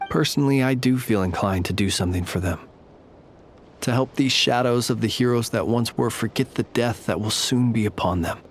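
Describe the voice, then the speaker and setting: A man voicing a courtly lantern-bearer who lays ghosts to rest, speaking in a formal, measured, poetic way. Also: fairly low pitch, natural voice. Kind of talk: video game voice acting.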